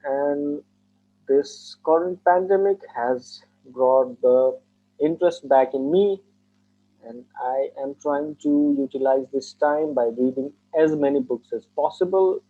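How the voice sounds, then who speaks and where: A man's voice: on the high side, takes it slowly, mellow. A young man in his twenties from Nepal, a native Nepali speaker, answering mock speaking-test questions in earnest, formal phrasing with frequent restarts. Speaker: test candidate. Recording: tinny phone-like call audio.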